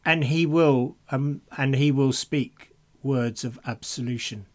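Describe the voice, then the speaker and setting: A man's voice: medium pitch, unhurried. A man co-hosting a reflective conversation about faith, speaking earnestly and repeating phrases for emphasis. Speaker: podcast co-host.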